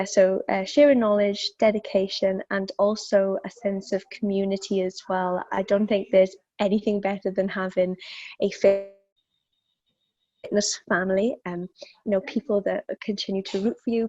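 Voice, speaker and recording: A woman's voice: medium pitch, dark tone, dry recording, highs cut off. A young woman from South Wales with a Welsh accent, answering interview questions as a fitness instructor, thoughtful and full of fillers and restarts.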